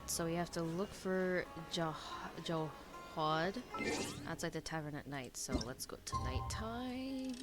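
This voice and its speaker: A woman's voice: low voice, slow. A woman streamer giving casual, informal reaction commentary while playing a game. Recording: game music behind the voice.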